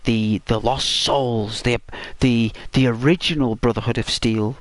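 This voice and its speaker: A man hosting a gaming channel, chatting casually and rambling to his viewers in a croaky, hoarse voice. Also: medium pitch, steady tone, measured pace, no echo. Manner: rambling, talks straight to viewers.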